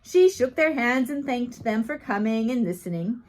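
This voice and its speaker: Higher-voiced woman reading aloud. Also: expressive, normal speed, low-quality recording. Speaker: audiobook reader. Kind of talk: scripted narration of a biography read aloud.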